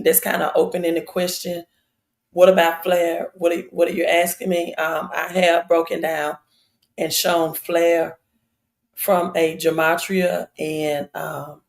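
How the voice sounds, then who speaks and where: A woman hosting a casual live stream, her voice raspy and hoarse, addressing viewers directly and repeating phrases for emphasis. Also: fairly low pitch.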